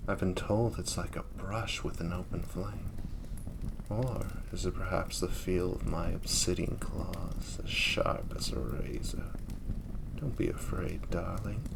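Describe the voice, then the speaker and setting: Casting: male actor for an imperious, seductive demon prince, slow and theatrical, audio drama with fire ambience and noticeable background noise. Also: sits low, gravelly, full character voice. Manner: silky, teasing, theatrical, unhurried. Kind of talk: intimate flirtatious roleplay audio drama. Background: crackling fire ambience.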